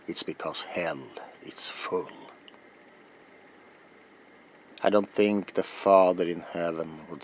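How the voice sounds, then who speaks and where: A man playing a grave, weary, obsessed older vampire hunter in a theatrical ASMR roleplay, speaking deliberately and dropping into breathy, ominous whispers. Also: sits low, a little hiss or hum. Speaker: character performer in roleplay.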